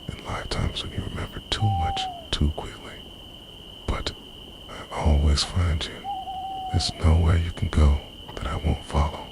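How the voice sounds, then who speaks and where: A man playing an obsessive, possessive immortal spirit lover in an intimate ASMR monologue, breathy and often whispering, with intense, dramatic phrasing. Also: very deep voice, noisy background, heavily acted. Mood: menacing, insistent. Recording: loud night ambience of crickets, owls. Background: crickets, insects and hooting owl.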